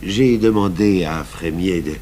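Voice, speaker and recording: Seasoned commissioner for radio play: deep, calm, deliberate, wryly stubborn; slight hum on the recording. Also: male voice. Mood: calm, firm. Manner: measured, deliberate, deferential but firm. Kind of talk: French radio drama acting. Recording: hummy, noisy old radio broadcast.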